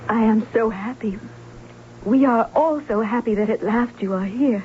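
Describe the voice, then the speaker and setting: A woman's voice: fairly high pitch, normal speed, close to an everyday voice. An actress playing an eager, gracious noblewoman, earnest and emotional, in an old radio drama. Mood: eager, welcoming. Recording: dull, hissy vintage radio recording.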